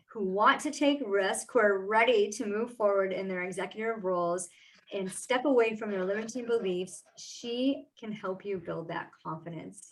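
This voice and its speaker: A woman hosting an online show, reading out her guest's introduction with effusive enthusiasm. Her voice is mid-pitched. She sounds set back from the microphone. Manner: warmly enthusiastic, effusive praise. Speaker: podcast host. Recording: distant from the mic.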